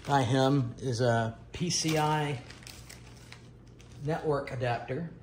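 A middle-aged man giving a casual, unscripted show-and-tell, halting and pausing mid-sentence. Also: mid-range voice, darkish tone, a little hiss or hum. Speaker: retro computer vlogger. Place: dining room at home, indoors.

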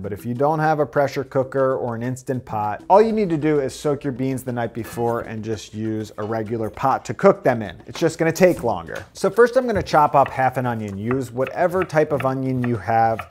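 A man's voice: mid-range voice, a little mellow, slight background noise. A man in his thirties hosting a casual cooking tutorial in his home kitchen, upbeat and chatty as he explains each step into a lapel mic. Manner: upbeat, conversational, explains as he cooks. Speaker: cooking channel host.

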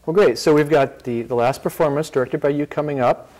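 A man's voice: a little high, a little fast, a little mellow, poor audio. A middle-aged man hosting a casual studio interview. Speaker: talk show host. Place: small TV studio, indoors.

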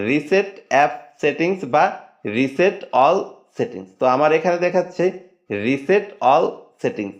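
Lo-fi male tech tutorial voice. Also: fairly high pitch. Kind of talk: step-by-step screen tutorial narration. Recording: dull lo-fi voice-over.

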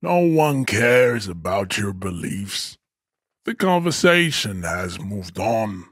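A man's voice: lowish voice, very animated, slow, a bit bright, husky, no room sound, studio quality, heavily acted. An actor playing a pompous, stoic strongman in a video game, declaiming haughty, grandiose speeches. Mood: scornful. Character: pompous, stoic, hulking male race-theorist strongman. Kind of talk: video game character acting.

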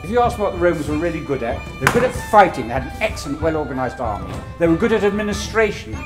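A man's voice: on the high side, very fast, music in the background. An older man, a clergyman, teaching children about history and quizzing them. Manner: lively, quizzing the audience. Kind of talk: classroom talk to children.

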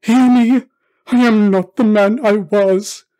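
Guy doing a theatrical old miser from a christmas storybook, high voice, very worked up and exclaiming everything. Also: fairly even intonation, takes it slowly, warm tone. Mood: pleading, desperate. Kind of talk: dramatic storybook reading.

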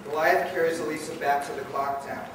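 A man's voice: fairly high pitch, fairly even intonation, fast. Male radio play narrator, very echoey hall. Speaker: narrator and director. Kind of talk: dramatic scripted narration of live radio play. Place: event hall before an audience. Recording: very echoey, distant, noisy live hall.

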